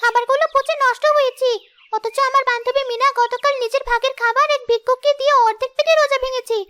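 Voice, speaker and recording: Woman as young cartoon girl, sincere and emotive, piercingly high and bright, over music. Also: fairly even intonation, fast talker, no echo. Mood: earnest, pleading. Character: earnest young schoolgirl in a moral cartoon story. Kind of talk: scripted cartoon dialogue. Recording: music behind the voice.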